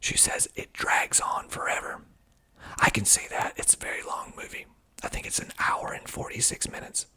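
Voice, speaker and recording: A man's voice: normal speed, pushed and bright. A man whispering breathily in an ASMR style as he reads aloud, adding wry, understated asides. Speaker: filmmaker and actor reading reviews of own film.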